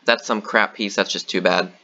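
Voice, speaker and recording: A man's voice: deep voice, normal speed, highs cut off. A man giving casual commentary on his own board game match, thinking aloud with lots of ums and uhs. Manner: thinking aloud, relaxed, many fillers.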